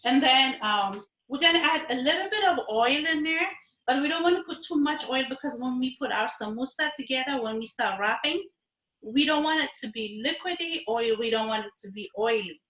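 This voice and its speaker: Woman, cooking teacher, video call, bright clear voice, echoey muffled kitchen. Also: high-pitched, animated, fairly quick. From Ethiopia, Eritrea. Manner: friendly, patient, checks in with students. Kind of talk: live online cooking lesson.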